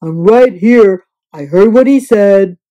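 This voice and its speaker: A woman voicing a big, sleepy, grumpy male bear in a children's story, growly and drawn-out. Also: mid-range voice, lively intonation, dark tone, dull audio. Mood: sulky. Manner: slow, drawn-out, grumbling.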